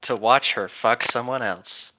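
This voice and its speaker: A man's voice: mid-range voice, a little slow, noisy background. A man co-hosting a podcast with casual, joking remarks, recorded in slightly muffled lo-fi sound. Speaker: podcast co-host reading aloud and commenting.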